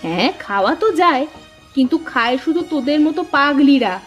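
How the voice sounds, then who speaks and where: A woman's voice: high voice, lively intonation, brisk, lo-fi sound. A woman voicing a scolding middle-aged village mother in a cartoon story, nagging and exasperated, with music underneath.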